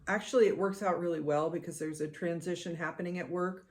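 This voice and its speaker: A middle-aged woman co-hosting a podcast in casual chat, with a soothing, measured way of talking. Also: on the low side, steady tone. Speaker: podcast co-host.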